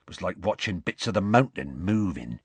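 Gruff half-giant groundskeeper, man doing the voice, low, husky, rustic dialect. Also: big pitch swings, fairly quick, dry recording, exaggerated voice. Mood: storytelling.

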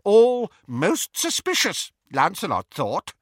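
Old witch, malicious and gleeful, shrill cackle, dry close sound; male reader. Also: wildly swooping pitch, slow pace, no echo, heavily acted. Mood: gossiping. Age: older. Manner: cackling, needling, high-pitched. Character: shrill gossiping old witch, spiteful and relishing.

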